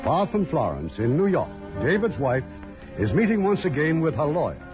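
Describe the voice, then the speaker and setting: A man's voice: a little high, sing-song, normal speed. Dramatic male radio narrator over music. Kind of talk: 1950s scripted theatrical narration. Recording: dull old radio broadcast over music.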